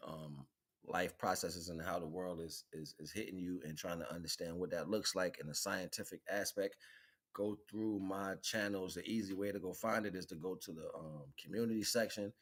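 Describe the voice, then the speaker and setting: A man chatting casually as a livestream host, rambling in slang and repeating catchphrases. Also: low voice.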